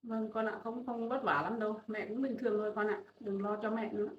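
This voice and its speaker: A woman playing a remorseful mother reunited with her grown son, speaking tenderly and softly pleading. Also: on the high side, brisk, distant mic, a little hiss or hum, close to an everyday voice. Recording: echoey, dull, from afar.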